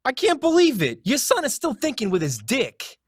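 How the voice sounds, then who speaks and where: A man's voice: very high-pitched, huge pitch swings, moderate pace, breathy edge, no room sound, clean studio sound, heavily acted. A man acting as a blunt, scornful, needling crony of a crooked mayor in an audio drama.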